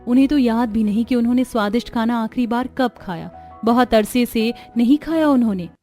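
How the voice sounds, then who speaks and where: A gentle young mother voiced by a woman in a cartoon family tale. She is earnest and reassuring with a worried edge, fairly high in pitch over soft music. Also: fairly quick, natural voice. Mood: gentle concern.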